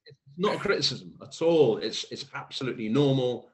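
A man's voice: medium pitch, expressive, brisk, darkish tone. Reflective man with a faint Welsh accent. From South Wales. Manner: thoughtful, hesitant, searching for words, many restarts. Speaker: poet guest. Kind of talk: reflective conversational interview.

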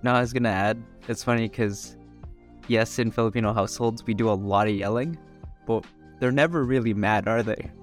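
A man's voice: lowish voice, a little fast, soft background music. A man co-hosting a podcast, chiming in with friendly, joking asides in casual chat. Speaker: podcast co-host.

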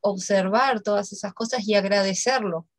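A woman's voice: mid-range voice, normal speed, no room sound. Encouraging woman interviewer. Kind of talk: interview conversation.

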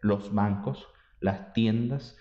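Podcast co-host, storytelling explainer; room echo, muffled sound. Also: male voice, fairly low pitch, drawn-out words. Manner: storytelling, explaining local customs. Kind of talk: casual chat.

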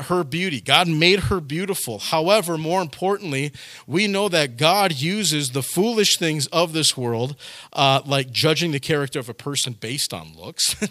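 A man's voice: on the high side, a bit bright, no room sound. A man preaching a sermon in a conversational, folksy way, with frequent repeated words and side remarks. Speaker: pastor.

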